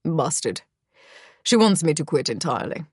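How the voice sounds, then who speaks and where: A woman voicing a gruff, kingly middle-aged stepfather with a deep, Germanic-tinged voice and a weary, fatherly manner. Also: very animated, unhurried, no room sound, studio quality, full character voice. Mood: sheepish.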